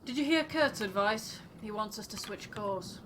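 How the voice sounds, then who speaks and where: A woman's voice: medium pitch, expressive, moderate pace, background noise, lightly acted. Casting: woman as practical polar ship's first mate, matter-of-fact, cool under pressure, brief replies, for a narrative game. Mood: level, practical. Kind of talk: character voice acting in a game playthrough.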